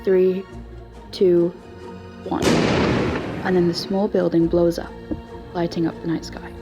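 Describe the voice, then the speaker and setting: A woman's voice: lowish voice, little pitch movement, slow pace, highs cut off, loud music behind the voice. A woman narrating a scripted audio story.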